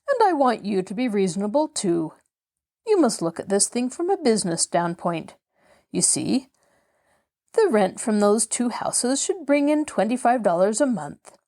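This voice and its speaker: A woman's voice: mid-range voice, dark tone, natural voice. A woman voicing a formal, matter-of-fact male landlord in a storybook, reasonable but unbending. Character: brisk, businesslike grown man landlord, reasonable but unbending.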